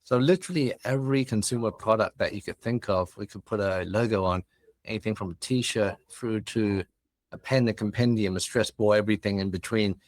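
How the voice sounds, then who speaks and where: Low-voiced man with a mixed Australian-British-Cantonese accent. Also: a little fast, no room sound. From Hong Kong. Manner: chatty, expansive, friendly. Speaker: guest, business founder. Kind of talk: casual interview chat.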